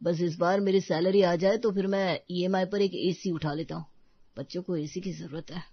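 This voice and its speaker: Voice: mid-range voice, steady tone, moderate pace, slightly rough, no room sound, lo-fi sound. Brief: actor as proud tired husband and father, exasperated, storybook drama, close dry sound. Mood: weary. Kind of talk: animated moral story voice acting.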